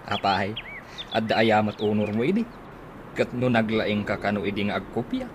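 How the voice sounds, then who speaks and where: A man's voice: on the low side, normal speed, close to an everyday voice. A man playing an easygoing, teasing, thrifty husband with an Ilocano accent in a radio comedy drama. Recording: noisy radio drama recording, ambient sounds.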